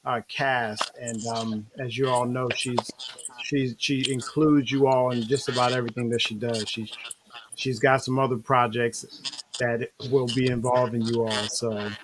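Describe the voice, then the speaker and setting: A relaxed man hosts a casual video-call interview, wandering through his thoughts with lots of fillers. His voice sits at an ordinary middle pitch. A light hiss runs under the line. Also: measured pace. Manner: easygoing, rambling, many fillers. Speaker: show host.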